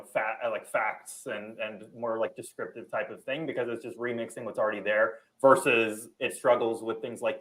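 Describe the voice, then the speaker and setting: A young man casually moderating a panel on a video call, polite and somewhat halting as he puts questions. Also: medium pitch, a bit bright, low-quality recording. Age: young adult. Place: indoor room on a video call.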